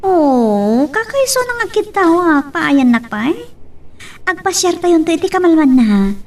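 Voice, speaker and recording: An actress playing a boss's pouty, insistent young daughter in a radio drama. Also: female voice, very high voice, sing-song, measured pace, a little mellow, distant mic, full character voice. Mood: brisk, agreeable.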